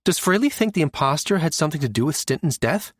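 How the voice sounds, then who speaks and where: Man voicing an insistent woman officer, raised pitch. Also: big pitch swings, fast talker, breathy edge, no room sound, studio quality. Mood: probing. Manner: questioning, pressing. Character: insistent, challenging woman navy officer in science fiction. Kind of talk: audiobook character dialogue.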